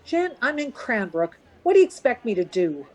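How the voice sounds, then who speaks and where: A woman's voice: fairly high pitch, very animated, moderate pace. A woman playing a weary, anxious but reasonable middle-aged mother in conversation in a radio play. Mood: anxious, patient.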